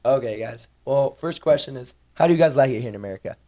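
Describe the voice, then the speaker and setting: A man's voice: medium pitch, dark tone. A teenage boy anchoring a school morning show, asking students casual, upbeat questions. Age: teens. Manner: upbeat, quick, chatty questioning. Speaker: student news anchor and interviewer. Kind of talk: casual school broadcast interviews.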